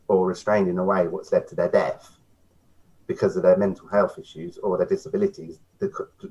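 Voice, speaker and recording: A man talking as a guest in casual chat, often repeating words as he starts. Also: low voice. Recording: dull lo-fi sound.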